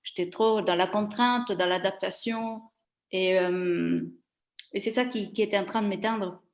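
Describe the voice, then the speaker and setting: Italian woman, fifties, reflective guest, mid pitch, hesitant delivery, echoey room. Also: fairly even intonation, unhurried. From Italy. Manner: hesitant, many fillers and restarts. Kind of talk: reflective interview answer.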